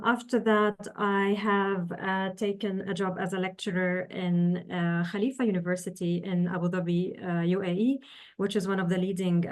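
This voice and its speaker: Earnest woman, measured and pausing. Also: lowish voice, a little mellow. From Syria, raised in the UAE. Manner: measured and earnest, with many pauses as she explains. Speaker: guest, engineering professor. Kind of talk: podcast interview answers.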